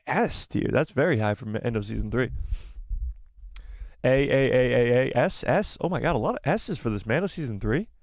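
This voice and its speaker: A man's voice: mid-range voice, lively intonation, moderate pace, dry recording, studio quality. A man hosting a live fan stream, giving firm opinions, reading viewer replies aloud, and losing his voice. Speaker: host of a live fan show.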